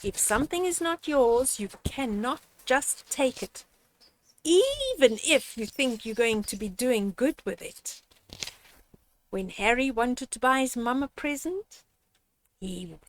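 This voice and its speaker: An older woman reads a children's story aloud with a high, swooping voice. She takes it very slowly, pausing to speak straight to young listeners. Her manner is gently instructive, as in a picture-book lesson. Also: lively intonation, slight background noise, low-quality recording. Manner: gentle didactic, addressing young children directly. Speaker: storyteller reading children's books. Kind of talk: read-aloud picture book with moral commentary.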